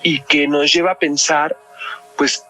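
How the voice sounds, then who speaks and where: A man's voice: fairly high pitch, little pitch movement, a little slow, bright, forceful tone, soft background music. A man with a Mexican accent talking analytically in a podcast discussion, explaining things and often checking with tag questions.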